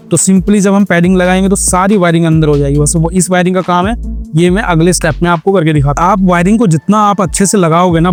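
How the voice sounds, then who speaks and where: A man speaks in a distinctly high-pitched voice over background music. He presents a hands-on tutorial in a casual vlogger style. His explanations go step by step, with English words mixed in. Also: darkish tone. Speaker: motorcycle vlogger and tutorial host. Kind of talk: casual hands-on how-to narration.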